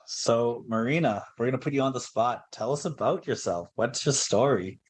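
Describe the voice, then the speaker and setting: A man's voice: medium pitch, moderate pace, breathy edge. A man co-hosting a podcast, chatting casually with a jokey manner and frequent laughs. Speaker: podcast co-host. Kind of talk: casual chat.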